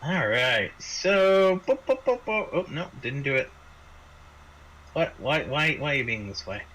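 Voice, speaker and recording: Male game master, slightly high bright voice, slow and halting improvised narration, faint noise behind. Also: very animated. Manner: halting, repeats words while narrating. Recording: noisy home microphone.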